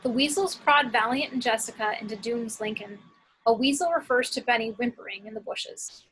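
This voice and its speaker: A woman's voice: on the high side, normal speed, fairly bright tone, slight background noise, low-quality recording. A woman narrating action descriptions from a script at an amateur table read.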